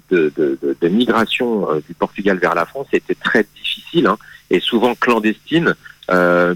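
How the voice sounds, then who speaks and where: A man guest on a radio interview, explaining history in a measured way with frequent hesitations and restarts. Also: fairly low pitch, fairly bright tone, no room sound, poor audio. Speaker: magazine guest speaking on history.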